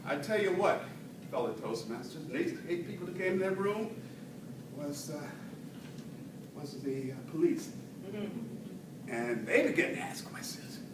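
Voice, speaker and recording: A man delivering a humorous tall-tale speech to an audience in a theatrical way, with dramatic pauses and swells. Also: a little high, lively intonation. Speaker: speech contest storyteller at a Toastmasters club. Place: indoor room with an audience. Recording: roomy, distant mic, faint noise.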